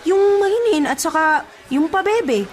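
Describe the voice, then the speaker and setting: A woman's voice: high voice, animated, a little slow, soft background music. A woman playing a sassy, teasing young office best friend in a radio drama, chatty, coy and mock-scolding.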